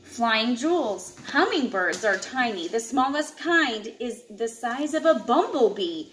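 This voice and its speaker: Mom-type woman reading to kids, high voice, takes it slow, roomy home recording with some background noise. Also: expressive, a bit bright. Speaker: parent reading aloud to children. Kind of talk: reading a children's nature book aloud. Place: indoors, open living room and kitchen at home. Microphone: camera a short distance away. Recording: echoey room with clear background noise.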